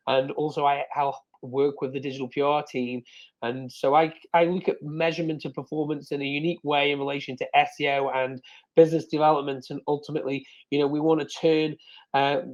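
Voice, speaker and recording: A man's voice: fairly high pitch, lo-fi sound. A man with a cold, speaking as a panelist on a business webinar, rambling and repeating words as he thinks aloud. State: sniffly with a cold. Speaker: director of SEO, panelist. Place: video call.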